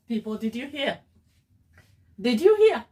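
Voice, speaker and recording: A woman's voice: a little high, sing-song, slow pace, warm tone. A woman with a Ghanaian accent hosting a cake decorating livestream, chatting casually with her viewers and calling them "my people". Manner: chatty, addresses viewers as "my people", mixes in Twi.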